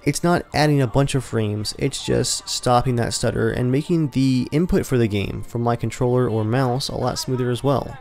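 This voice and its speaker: Man, gaming vlog host, casual commentary, medium pitch, relaxed rambling, faint background music. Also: normal speed, creaky, hardly any pauses. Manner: relaxed, conversational, tech-focused rambling.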